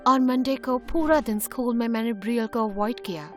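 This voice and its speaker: A young woman playing an awkward, earnest teenage schoolgirl telling her own animated story in an expressive, conversational way. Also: a little high, brisk, lightly acted. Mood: narrating, confiding. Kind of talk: dramatised first-person animated story narration. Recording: music behind the voice.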